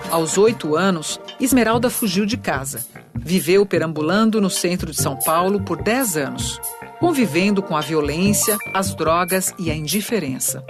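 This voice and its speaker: Report narrator, steady scripted delivery over clear music. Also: medium pitch, moderate pace. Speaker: documentary narrator.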